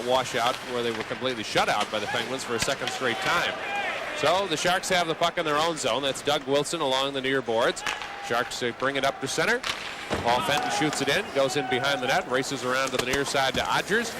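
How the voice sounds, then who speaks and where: A man doing live radio play-by-play of a hockey game, wordy and wry, slipping conversational asides between the calls. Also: mid-range voice. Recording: live arena broadcast with background noise.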